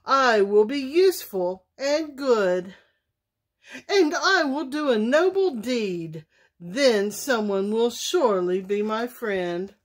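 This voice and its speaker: A woman's voice: a little high, lively intonation, takes it slowly. A woman voicing a misunderstood male wolf in a children's storybook, earnest, easily hurt and emphatic. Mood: earnest resolve.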